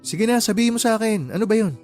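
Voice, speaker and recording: A protective uncle in a radio drama, emphatic and concerned. He is generous yet quick to exasperation, with light music playing underneath. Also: high voice, expressive, darkish tone. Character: caring but exasperated uncle, generous working man.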